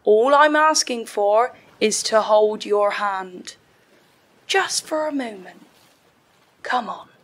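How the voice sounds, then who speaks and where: A woman's voice: mid-range voice, expressive, slow. A woman playing a lonely mermaid in an audio play, wry and coaxing, at times slipping into eerie whispers.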